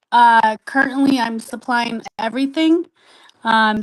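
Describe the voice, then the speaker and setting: Slightly high-voiced woman, casual guest. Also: low-quality recording. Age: adult, doctoral student. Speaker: non-profit founder, show guest. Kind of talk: casual conversational answers.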